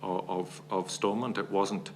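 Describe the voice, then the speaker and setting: A man giving formal testimony as a witness before a parliamentary committee, laying out long arguments in a measured, analytical way. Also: sits low, little pitch movement, a little hiss or hum.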